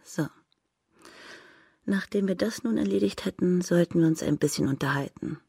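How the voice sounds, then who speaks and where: Proud, husky courtesan with vocal fry. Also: female voice, on the low side, moderate pace, warm tone, no room sound, studio quality. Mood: businesslike, provocative. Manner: cynical, dismissive, frank. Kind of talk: radio drama acting.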